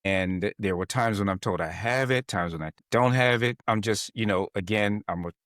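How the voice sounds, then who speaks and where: A low-voiced man hosts a casual interview in a dry, close-miked sound. He thinks aloud with restarts, telling stories from his own working life before he puts his question. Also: a bit bright, no echo. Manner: searching, halting, with restarts and reflective anecdotes. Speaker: podcast host.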